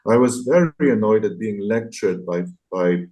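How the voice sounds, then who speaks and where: A man in his sixties with a low, soft-edged, mellow voice gives reflective interview answers. He is articulate and thoughtful, often searching for the right word, and gently self-deprecating. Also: animated, moderate pace. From France, partly raised abroad. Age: 60s. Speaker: interview guest, graphic novelist and illustrator.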